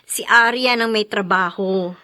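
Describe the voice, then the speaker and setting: A woman playing a gossipy, sympathetic friend in a Tagalog radio drama, animated and confiding. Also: medium pitch, measured pace.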